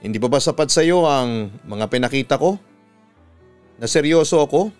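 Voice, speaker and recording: A man's voice: medium pitch, lively intonation, moderate pace, darkish tone, clean tone, faint music behind, natural voice. A Filipino man voicing an earnest, devoted suitor, insistent and tender, as in a radio drama.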